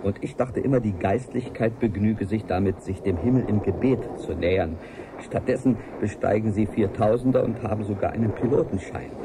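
An actor playing an earnest, lonely scientist who confides in a formal, measured way in a radio-play audio letter. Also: male voice, lowish voice, noisy background, lightly acted. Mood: earnest, confiding. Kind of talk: 1980s German radio play monologue.

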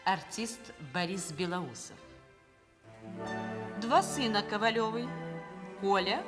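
A woman's voice: medium pitch, lively intonation, slow. A woman announcer reading a formal scripted introduction to a radio play. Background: violin music.

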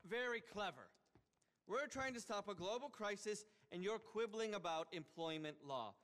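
Pompous self-styled visionary billionaire, thirties, high voice, wildly swinging delivery, indignant, video game cutscene. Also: male voice, expressive, normal speed, fairly bright tone. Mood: smug, scornful. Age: 30s. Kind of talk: animated game cutscene acting.

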